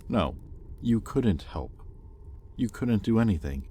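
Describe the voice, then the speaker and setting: A man's voice: fairly low pitch, lively intonation, takes it slowly, slight background noise. A man playing a bitter, conflicted demon in a roleplay audio drama, speaking to his captive with brooding intensity. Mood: frustrated. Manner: brooding, intense, swinging between hushed confession and heated accusation. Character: bitter, conflicted male demon, possessive owner of a captive angel. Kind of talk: scripted roleplay audio acting, addressed to the listener.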